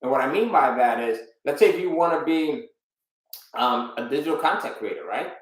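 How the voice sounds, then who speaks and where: A man coaching a live webinar audience, emphatic and energetic, with a steady mid-range voice. He keeps asking his viewers rhetorical questions. The sound bounces around a very echoey room. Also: mid-range voice, moderate pace. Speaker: online business coach. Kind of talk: live webinar teaching, talking to chat viewers.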